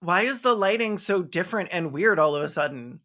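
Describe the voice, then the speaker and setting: Snarky, campy movie reviewer, mid-pitched. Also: expressive, brisk, sharp tone. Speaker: video essayist, film reviewer. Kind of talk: comedic movie commentary.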